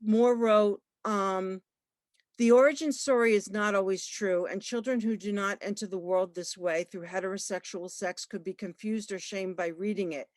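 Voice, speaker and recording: A woman librarian giving a talk at an online book launch, halting, with many fillers and restarts. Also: mid-range voice, steady tone, darkish tone, no room sound. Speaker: librarian and researcher. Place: online video call.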